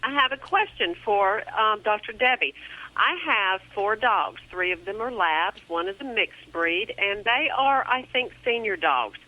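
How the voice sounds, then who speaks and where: A woman's voice: mid-range voice, animated, unhurried. A woman from Alabama with a Southern accent, calling in with a question about her dogs. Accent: Southern American. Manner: polite, laughs easily. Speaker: caller. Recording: muffled phone line.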